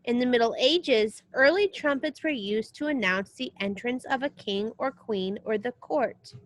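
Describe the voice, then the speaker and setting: A woman with a somewhat high-pitched voice reads aloud in the role of a music teacher. She speaks in an explanatory way aimed at young listeners, moving between the book's text and short asides of her own. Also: unhurried, poor audio. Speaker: music teacher reading a children's book aloud. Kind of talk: read-aloud lesson with short spoken asides.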